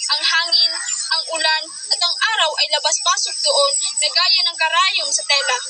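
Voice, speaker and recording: Very high-pitched woman narrator over music. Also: steady tone, normal speed, distant mic. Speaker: puppet show narrator. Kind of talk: scripted dramatic story narration. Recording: loud music behind the voice.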